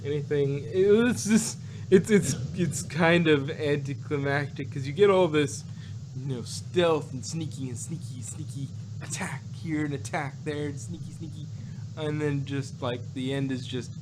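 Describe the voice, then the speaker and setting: A man chatting casually as a podcast host reviewing audio, in a rambling, halting way that often trails off. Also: on the high side. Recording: very noisy background hum.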